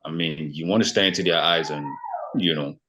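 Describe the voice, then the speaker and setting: Brief: man in his thirties from Nigeria, Yoruba first language, very low voice, easygoing chatty guest. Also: brisk, noisy background. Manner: relaxed, lots of fillers like "you know" and "man". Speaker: podcast guest. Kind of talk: casual conversation.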